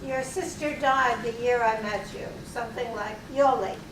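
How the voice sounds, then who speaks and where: A woman's voice: fairly high pitch, normal speed, noisy background. A woman prompting her husband and answering for him during a survivor's Q&A. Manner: prompts and corrects on his behalf. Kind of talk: interjecting in a Q&A. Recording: distant echoey hall recording.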